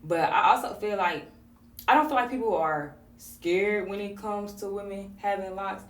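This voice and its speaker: A woman hosting a podcast, talking in a relaxed, conversational way with plenty of fillers. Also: mid-range voice, normal speed, roomy sound, recorded from a distance. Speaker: podcast host. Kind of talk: casual conversation.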